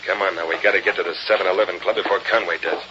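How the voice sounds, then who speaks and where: An actor playing a gruff, cocky American gang boss giving slangy, clipped orders in an old radio crime drama. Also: male voice, deep voice, steady tone, racing, natural voice. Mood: cold scheming. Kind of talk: 1930s-40s radio crime drama acting. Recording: dull, noisy old radio recording.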